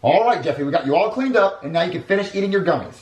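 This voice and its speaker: A man's voice: high voice, lively intonation, rapid-fire, a little mellow, distant mic, a little hiss or hum. A man voicing an anxious, whiny dad who gets exasperated and repeats himself in a puppet comedy skit. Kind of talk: rehearsed comedy skit with retakes. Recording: echoey room, off mic.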